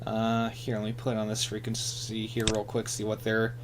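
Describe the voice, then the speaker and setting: A man giving a casual hands-on equipment demonstration, halting and tentative as he thinks aloud. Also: fairly low pitch, monotone. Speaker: radio hobbyist presenter. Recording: faint hum and hiss behind.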